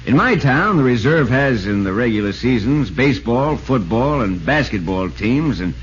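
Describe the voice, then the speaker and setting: An excitable American sportswriter acted by a man narrates in old radio drama style. His delivery swings widely in pitch, through a muffled, clearly noisy vintage recording. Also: normal speed, close to an everyday voice. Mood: friendly, informative. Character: excitable male sportswriter recounting a ballgame.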